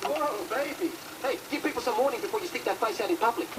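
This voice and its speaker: A brash, mocking bloke trades insults in a roadside car row, all cheek and sarcasm. His voice is quick and lively. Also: very high voice, recorded from a distance, very noisy. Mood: indignant. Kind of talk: film comedy dialogue.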